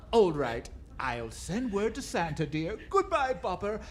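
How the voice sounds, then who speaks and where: A woman's voice: mid-range voice, big pitch swings, slow, a little mellow, slight background noise. A woman playing an effusive, chuckling costumed store Jack Frost in an old-time radio drama. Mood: jolly, indulgent. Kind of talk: 1940s detective radio drama acting.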